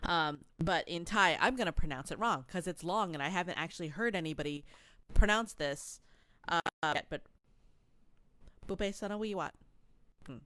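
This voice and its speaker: Low-voiced woman, chatty podcast co-host. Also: moderate pace, long pauses, dry recording. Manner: chatty, animated storytelling with many fillers. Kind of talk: casual chat.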